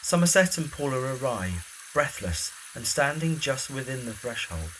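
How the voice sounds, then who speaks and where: A man's voice: medium pitch, sing-song, normal speed, a bit bright, slight background noise, low-quality recording. A man reading scripted present-tense narration for an audio drama.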